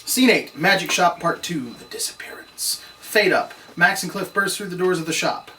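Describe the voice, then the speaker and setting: A man's voice: high voice, normal speed, pushed and bright. A man narrating scene directions from a script at a table read. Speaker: narrator reading stage directions. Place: room, read aloud at a table. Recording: distant room mic, faint noise.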